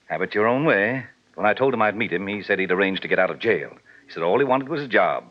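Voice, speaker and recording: An actor playing a menacing, falsely courteous middle-aged crime boss in a 1940s radio drama. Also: male voice, fairly low pitch, lively intonation, fast talker, close to an everyday voice. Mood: smoothly menacing. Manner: falsely courteous, veiled threats. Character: smooth, menacing, self-important male racketeer boss, middle-aged. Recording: lo-fi, noisy 1940s radio recording.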